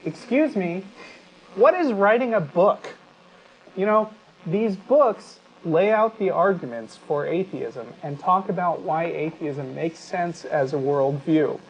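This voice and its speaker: A man with a somewhat high voice delivers commentary as a talk show co-host. He is deliberate and rhetorical, with a sardonic edge. The audio is a little dull and lo-fi. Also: animated, slight background noise. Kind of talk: opinionated commentary, reading excerpts aloud.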